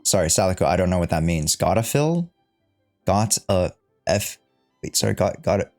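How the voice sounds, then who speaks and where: A man's voice: low-pitched, expressive, moderate pace, darkish tone. A man doing casual live-stream commentary on a game, rambling and reading the dialogue aloud as he goes. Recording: game music behind the voice. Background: game music and dialogue.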